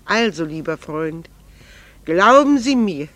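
Casting: a middle-aged wife of quiet, renounced passion, composed and earnest, formal diction, vintage radio play. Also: female voice, lowish voice, animated, takes it slowly, no room sound. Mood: firm, gentle. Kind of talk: 1960s German radio drama acting.

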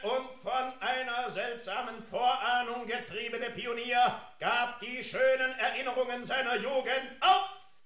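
An actor playing a pompous mayor giving a grandiose, theatrical speech in a radio drama. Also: male voice, very high-pitched, sharp tone, exaggerated voice. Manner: bombastic, theatrical, dramatic drawn-out words. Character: pompous mayor delivering a grandiose banquet eulogy. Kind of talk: declamatory German radio drama oratory. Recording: echoey hall.

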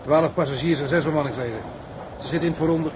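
A man's voice: on the high side, fast talker, noisy background, lightly acted. A man playing a blunt, matter-of-fact sailor reporting in a radio drama.

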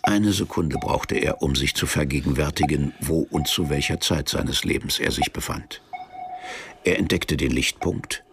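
A man playing the sombre, detached narrator of a radio play, reading literary prose deliberately in a gravelly voice. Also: rumbling low, background noise. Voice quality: gravelly, creaky. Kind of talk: scripted narration.

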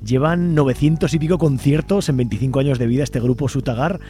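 Brisk-talking Spanish man hosting radio. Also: mid-range voice, warm tone, slight background noise. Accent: Spanish from Spain. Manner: informal, colloquial. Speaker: radio show host. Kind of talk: casual radio interview and presenting.

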